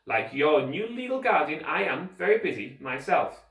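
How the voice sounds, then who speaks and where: A man voicing a stiff, busy middle-aged banker in a children's storybook, formal and brisk in his explanations. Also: a little high, very animated, fairly bright tone. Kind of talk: children's storybook character reading. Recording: roomy echo, distant microphone.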